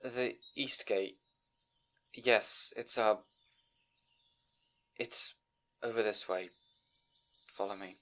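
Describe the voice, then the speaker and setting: A man's voice: lowish voice, fairly even intonation, extremely slow, long pauses, a bit bright. A man playing a timid, bookish young scribe in an audio roleplay, speaking to the listener in an anxious, hesitant, stammering way. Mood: flustered, eager.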